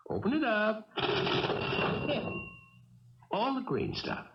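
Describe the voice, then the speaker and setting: An actor playing a cool young armed killer in a radio drama, talking with mock politeness and quiet menace. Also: male voice, high-pitched, wildly swooping pitch, drawn-out words, very noisy, full character voice. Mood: coolly commanding. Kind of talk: radio mystery drama acting. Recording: dull old radio recording, faint music.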